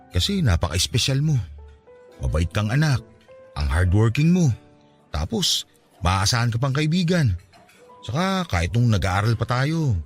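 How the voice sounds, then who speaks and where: Earnest young Filipino suitor: lowish voice, very animated, devoted, pleading, radio romance drama. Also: male voice. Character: earnest, lovestruck young man, devoted best friend.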